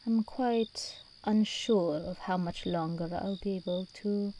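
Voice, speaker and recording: An imperious demon princess, acted by a woman, purrs through an ASMR roleplay in a warm, relaxed, mid-pitched voice. She speaks slowly and regally, doting and possessive. Faint ambient noise sits behind her. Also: lightly acted. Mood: coaxing, possessive. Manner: regal, teasing, unhurried delivery with endearments.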